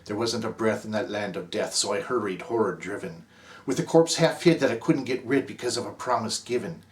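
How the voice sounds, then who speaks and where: A man's voice: lowish voice, a little fast. A man with an Irish accent reciting a ballad as the narrator Cap, in a rhythmic, theatrical delivery. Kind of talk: dramatic poem recitation.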